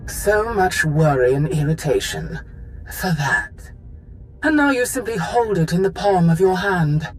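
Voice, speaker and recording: A woman's voice: on the low side, very animated, a little slow, a little hiss or hum. A woman acting a haughty, demanding Imperial Sith official in a video game cutscene, with cold, formal phrasing. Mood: cool, condescending.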